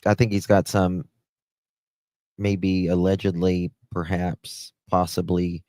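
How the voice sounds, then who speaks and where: Southern dude hosting a stream, takes his time, dry close mic, lots of fry. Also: deep voice, level tone, darkish tone, dry recording. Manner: halting, unhurried. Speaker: livestream host. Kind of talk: casual livestream commentary. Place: small room at home, indoors. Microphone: close desk microphone.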